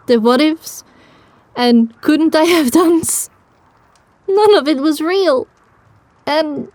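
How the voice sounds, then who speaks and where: A woman playing a determined young female pony who tells her story with grief, in an emotional, halting, drawn-out delivery for an audio drama. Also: high-pitched. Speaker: narrator. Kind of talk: first-person dramatic audiobook narration.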